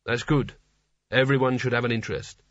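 A man's voice: mid-range voice, steady tone, brisk, dry recording, muffled recording, close to an everyday voice. A man voicing a gloomy, weary, cowardly failed wizard in a storybook, dry, sardonic and irritable.

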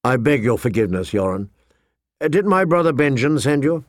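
A man plays a noble lord and father in an audiobook. He is formal and measured, stern yet fond, in a mid-pitched voice with a very dry, close sound. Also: very animated, dry recording, close to an everyday voice. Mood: courteous. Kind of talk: audiobook character dialogue.

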